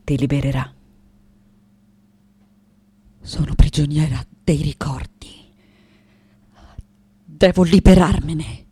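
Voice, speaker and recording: A woman's voice: low-pitched, slow pace, pauses often, breathy edge, exaggerated voice. Intense, wounded elderly woman artist. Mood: solemn, then haunted, reminiscing. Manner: dramatic, swinging from hushed to anguished. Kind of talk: theatrical radio monologue.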